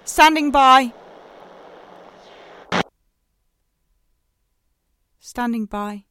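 A woman playing a lonely, desperate late-night radio DJ in an audio drama, speaking in a halting, pleading way. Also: high-pitched, pushed and bright, clean tone, dry recording, full character voice, low-quality recording. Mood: relieved, urgent.

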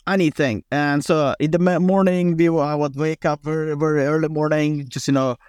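A man in his thirties with an Afghan accent talks in halting bursts, full of fillers and restarts. His voice sits a little high and comes through smooth and clear, recorded close and dry. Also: steady tone, darkish tone, no echo. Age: 30s. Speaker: guest, former special forces commander. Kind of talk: casual interview talk.

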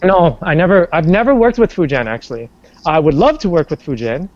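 A man, a working actor, talks through his career in a loose, meandering way, full of "like" and false starts. His voice sits a touch higher than most men's. The sound is muffled and lo-fi. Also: lively intonation, brisk, darkish tone, dry recording. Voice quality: bass singing voice. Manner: rambling, filler-heavy, many restarts. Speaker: stage and screen actor, interview guest. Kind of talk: casual interview talk. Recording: dull lo-fi sound.

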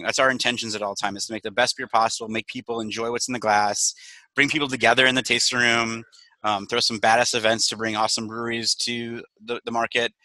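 A man's voice: mid-range voice, sharp tone, no room sound, rough recording. A man from Wisconsin who owns a brewery, talking candidly and energetically on a video call, swearing now and then. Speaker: brewery owner. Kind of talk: casual video call chat.

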